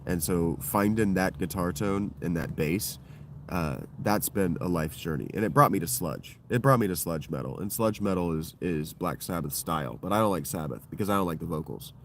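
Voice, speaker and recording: A man with a deep voice hosting his own livestream, talking in a loose, digressive and profane monologue that keeps circling back on itself. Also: normal speed, a little hiss or hum. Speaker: livestream host. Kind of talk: rambling solo livestream monologue.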